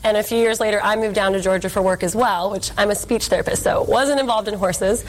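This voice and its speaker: A woman in her thirties, a speech therapist, speaking as a guest in a casual interview and telling stories in an animated, heartfelt way. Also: medium pitch, quick pace, rough recording.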